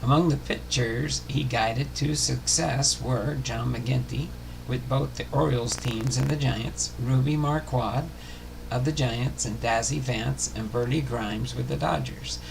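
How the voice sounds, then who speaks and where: A man reading a scripted sports history narration aloud, haltingly, often stumbling and correcting himself. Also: mid-range voice, fairly even intonation, creaky, noisy background. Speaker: host of a sports history show. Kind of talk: scripted narration read aloud, baseball biography.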